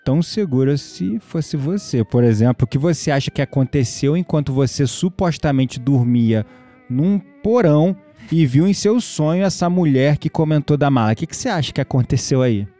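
A man with a Brazilian accent acting in an audio drama as a patient believer who explains spiritual matters in a conversational, gently teasing way. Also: on the high side, animated, moderate pace, close to an everyday voice. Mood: earnest, persuasive. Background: music behind the voice.

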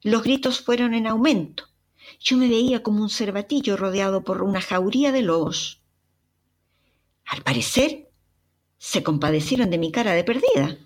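A young Chilean woman with a Chilean accent and a breathy voice, dramatically telling a personal travel story as a podcast narrator. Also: mid-range voice, moderate pace. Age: young adult. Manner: expressive, dramatic retelling with sighs and exclamations.

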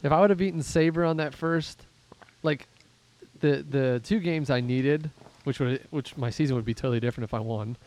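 A man from Pittsburgh hosts a casual podcast about his fantasy league, with a mid-range voice. He rambles, thinks out loud and pokes fun at his own losing season. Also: mid-range voice, fairly quick. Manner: rambling, self-deprecating, thinks aloud. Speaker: podcast host and league commissioner.

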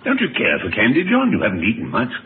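Older country doctor, very animated pitch. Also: male voice, quick pace. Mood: musing. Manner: avuncular, musing, questioning. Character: genial, inquisitive older small-town doctor. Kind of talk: old-time radio drama acting. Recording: tinny old radio recording, faint noise.

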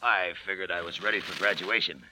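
A man's voice: deep voice, fairly even intonation, brisk, close to an everyday voice. An actor playing a smug, slangy crook in a 1940s radio drama, talking like an uneducated tough guy. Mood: smug scheming. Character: smug, slangy male crook scheming a frame-up. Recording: noisy old radio drama broadcast.

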